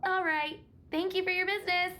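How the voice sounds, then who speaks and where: Nice helpful young shop girl from a game, really high voice, slight rumble in the background. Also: fairly even intonation, fairly quick, pushed and bright. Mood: welcoming, obliging. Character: friendly, obliging young female shopkeeper. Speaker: video game character. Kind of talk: animated game voice acting.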